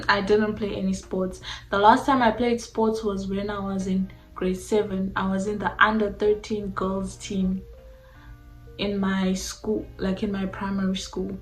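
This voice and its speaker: A woman's voice: medium pitch, little pitch movement, measured pace. A young South African woman whose first language mixes Xhosa and Zulu, chatting casually and informally to viewers in a question-and-answer vlog. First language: a mixture of Xhosa and Zulu. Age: young adult. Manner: informal, uses "like" constantly. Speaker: YouTuber answering personal questions. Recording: echoey room with faint music.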